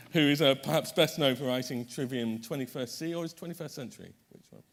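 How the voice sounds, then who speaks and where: A man's voice: medium pitch, brisk. A man chairing a conference panel, introducing the speakers in a chatty way, correcting himself often and making light jokes.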